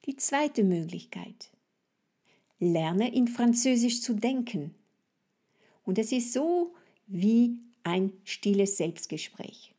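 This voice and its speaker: A woman with a French accent leads a podcast language lesson at an unhurried, slow pace. Her voice is soft-edged and mellow, with some huskiness. She explains things deliberately, addressing the listener directly as a coach would. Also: medium pitch, breathy edge.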